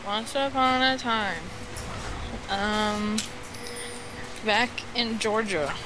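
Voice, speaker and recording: A playful, halting speaker from the United States telling a rambling tall tale as a guest on an internet voice call. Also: medium pitch. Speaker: guest storyteller on a gaming voice call. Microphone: Skype call. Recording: clearly noisy internet voice call.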